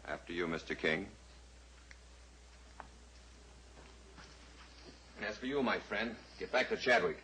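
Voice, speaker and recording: Very deep, coolly menacing radio villain. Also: male voice, animated, bright, forceful tone, rough recording. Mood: smug. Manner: measured, coolly polite, formal threats. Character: smooth, menacing villain, a calculating male murderer and schemer. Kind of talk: old-time radio adventure drama acting. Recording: old radio recording with faint noise.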